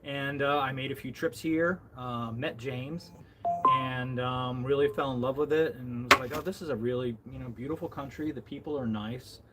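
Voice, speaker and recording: A man's voice: medium pitch, fairly even intonation, moderate pace, very noisy. Rambling American man hosting a live chat. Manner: rambling, many fillers and restarts. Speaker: livestream host.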